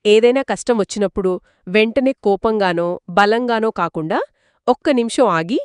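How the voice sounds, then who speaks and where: A woman's voice: mid-range voice, moderate pace, clean tone, no room sound. Woman telling children's stories, dry sound. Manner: expressive, addresses child listeners directly. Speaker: co-narrator of a children's folktale. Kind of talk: conversational two-person storytelling for children.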